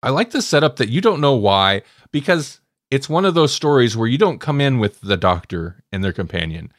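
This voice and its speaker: A man co-hosting a casual review podcast, animated as he talks and voicing reactions. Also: medium pitch, moderate pace, no echo, clean studio sound. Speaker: podcast co-host.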